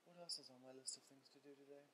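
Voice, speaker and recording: A young man rambles through a casual video diary in a mid-pitched voice. He sounds weary and grumbles with dry sarcasm. He is recorded on a webcam in a room at home. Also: fairly even intonation, fast talker, noisy background. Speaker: vlogger. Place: room in a house.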